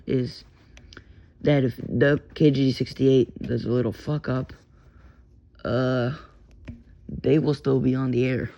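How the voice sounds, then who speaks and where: Gravelly Texan guy rambling about radios. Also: mid-range voice. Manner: rambling, profane, self-interrupting. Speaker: radio hobbyist. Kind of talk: rambling casual vlog. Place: bedroom at home. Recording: lo-fi noisy home recording.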